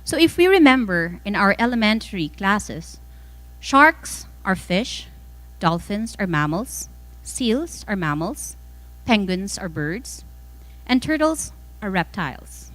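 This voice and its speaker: A woman with a Filipino accent reading a formal scripted speech as a guest speaker, measured and emphatic phrase by phrase. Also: medium pitch, very animated, a bit bright, poor audio. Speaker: guest speaker, former mayor.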